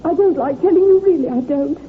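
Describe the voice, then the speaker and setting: Woman playing a very high-pitched, frightened young housemaid. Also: a little fast, lightly acted. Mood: frightened, hesitant. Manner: timid, flustered, hesitant, uneducated servant's speech. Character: frightened young housemaid, meek, simple, easily upset. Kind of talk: 1950s radio drama acting. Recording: tinny, noisy old radio recording.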